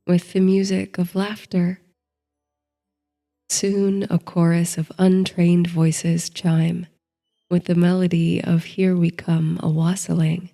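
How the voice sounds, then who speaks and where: A woman narrating a sleep story like a guided meditation, in a gentle, soothing, unhurried manner. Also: on the low side.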